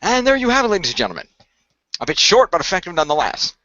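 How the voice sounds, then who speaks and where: Talkative guy hosting over voice chat, bright quick voice, slightly dull audio, explains everything. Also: sits high, wildly swooping pitch, no room sound. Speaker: co-creator and host of an improvised radio play. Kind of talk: casual post-game chat. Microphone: online voice call.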